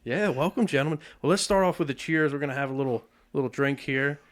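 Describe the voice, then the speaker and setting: A man hosting a podcast in a studio, relaxed and jokey as he chats with his guests. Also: medium pitch, quick pace. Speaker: podcast host. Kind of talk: casual podcast chat. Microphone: studio mic.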